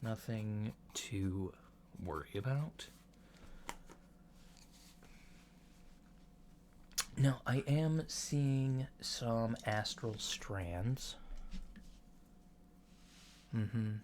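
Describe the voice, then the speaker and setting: A man playing a casual, reassuring alien doctor in a soft ASMR roleplay, speaking in a mild, hesitant, matter-of-fact way. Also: mid-range voice, extremely slow, long pauses. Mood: calm, reassuring. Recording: lo-fi with clear background noise.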